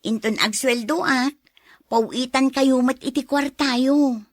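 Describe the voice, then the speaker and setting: A young Filipino woman, played by an actress in a radio drama, dutiful and polite. Her voice sits fairly high and is recorded close and dry. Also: slow, no room sound. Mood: caring. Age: young adult.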